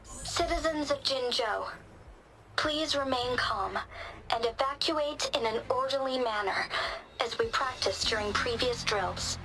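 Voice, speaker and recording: An actress playing a calm public announcer on a recorded game broadcast, formal and measured. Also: female voice, on the high side, soft background music, low-quality recording.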